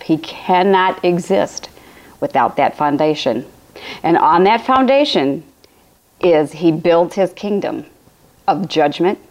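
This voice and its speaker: A woman speaks in a low, raspy voice that keeps cracking. She gives a spiritual talk on a live stream from home, circling back over her points and restarting her sentences as she goes. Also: darkish tone. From Illinois, USA. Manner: rambling, repetitive phrasing with frequent restarts. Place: home room with a fireplace, indoors.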